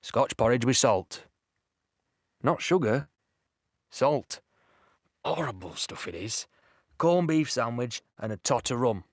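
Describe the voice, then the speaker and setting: A man playing a young soldier in an audio play, telling his war story in a conversational, excitable, unbothered way. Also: medium pitch, slow pace, long pauses, no echo, studio quality. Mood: tense anticipation. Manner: conversational, storytelling with repeated "you know". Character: young British soldier recounting D-Day, excited, chatty and unbothered. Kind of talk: dramatic first-person monologue in audio play.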